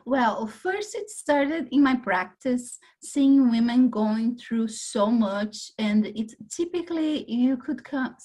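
A woman from Brazil with a Brazilian Portuguese accent, a psychologist answering interview questions in a measured, thoughtful way, sometimes searching for words. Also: fairly high pitch, animated, mellow, poor audio. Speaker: psychologist guest.

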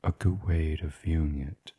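Very deep, husky man guiding sleep meditation. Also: steady tone. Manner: soothing, gentle, unhurried. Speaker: guided meditation host. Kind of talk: gentle guided meditation reading for sleep.

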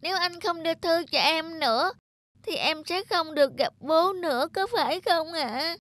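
A performer voicing a small, innocent, wistful child in an animated folk tale, polite and earnest in manner. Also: extremely high pitch, normal speed, soft background music. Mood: earnest, pleading.

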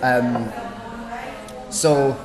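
A young man, co-hosting a casual podcast in a busy cafe, talking loudly with a wry, joking manner. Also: mid-range voice, fairly even intonation, drawn-out words, echoey room, long reverb tail, crowd noise. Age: young adult. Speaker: podcast co-host. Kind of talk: casual chat.

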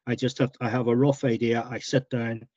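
A man talks at a middle pitch in a relaxed, plain-spoken way, now and then stumbling and repeating a word. The call audio is a little dull and muffled, and the sound is dry and close. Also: brisk, no room sound. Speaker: author being interviewed. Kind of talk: casual interview chat.

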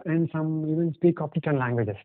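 A man with an Indian English accent, a native Telugu speaker, telling travel stories as a podcast guest in a halting, hesitant way. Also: a little high, no room sound. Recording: dry, tinny phone-like line.

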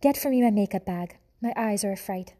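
A woman's voice: medium pitch, brisk, mellow, lightly acted. A woman reading aloud and voicing a vain, theatrical mother mouse with a French accent. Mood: melodramatic. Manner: theatrical, sighing, self-pitying.

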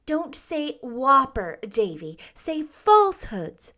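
A gentle young schoolmistress as a woman storybook reader plays her, with a high, bright voice. She corrects gravely and earnestly, more in sorrow than anger. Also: big pitch swings, takes it slowly, exaggerated voice. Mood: gentle correcting. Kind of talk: storybook character voice.

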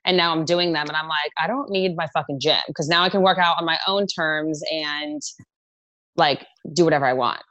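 A young woman talks as a guest in relaxed chat, peppering her sentences with "like" and casual swearing. Her voice sits on the low side. The sound is close and dry. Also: brisk, no room sound. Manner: chatty, swears freely, many "like" fillers.

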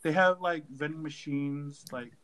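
A man chatting casually with friends, animated and joking, with lots of "like" fillers. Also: a little high, a little slow. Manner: animated, joking, uses "like" often. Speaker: group chat member. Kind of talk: casual group chat.

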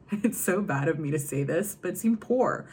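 A woman's voice: low voice, expressive, a little slow, a little mellow, a little hiss or hum. A young woman working as a love and confidence coach, talking casually and leaning on the phrase "go ahead and.". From Virginia, US. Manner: chatty, repeats "go ahead and". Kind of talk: casual talk to camera.

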